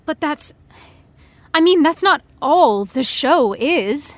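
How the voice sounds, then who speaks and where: An actress playing an outspoken, indignant young woman in an audio drama, halting at times and bluntly forceful. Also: high voice, animated, no room sound. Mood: hesitant protest. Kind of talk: naturalistic audio drama acting.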